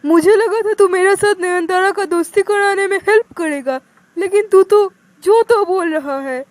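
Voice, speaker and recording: A woman voicing an excitable, smitten young male college student with a loud, pleading manner in a cartoon story. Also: very high-pitched, normal speed, no echo, pitched above the performer's own voice. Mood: indignant.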